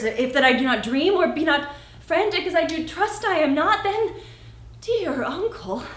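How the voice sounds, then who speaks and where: A young woman performing a witty, spirited Shakespearean heroine in a theatrical, animated and emphatic monologue. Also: sits high, pitched above the performer's own voice. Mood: earnest pleading. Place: friend's warehouse. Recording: echoey warehouse, distant mic.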